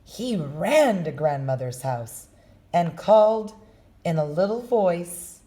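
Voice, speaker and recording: A woman reading a children's story aloud with slow, expressive storytelling. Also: fairly low pitch. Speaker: storybook reader.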